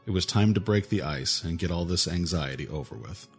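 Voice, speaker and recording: A man's voice: low voice, brisk, slightly rough. A man narrating fantasy fiction as a scripted audiobook. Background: music.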